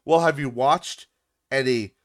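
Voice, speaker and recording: Man doing a folksy deadpan country doctor. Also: mid-range voice, unhurried, lightly acted. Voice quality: nasal. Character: folksy unflappable male doctor, middle-aged.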